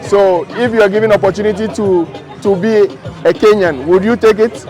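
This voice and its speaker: A man with a Ghanaian accent quizzes passers-by in an upbeat, teasing way. His voice sits a little high. Music and a crowd carry behind him on a city street. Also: fairly even intonation, a little fast. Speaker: street interviewer. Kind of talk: casual street interview. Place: busy city street outdoors.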